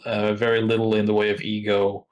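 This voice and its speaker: A man's voice: fairly low pitch, monotone, fairly quick. A middle-aged man from Norway, a podcast guest talking reflectively with many restarts. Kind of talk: casual interview talk.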